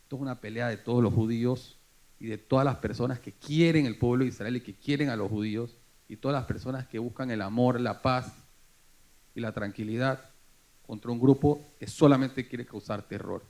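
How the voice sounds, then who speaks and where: A man's voice: medium pitch, brisk, darkish tone. A man speaking earnestly and emphatically as a forum panelist.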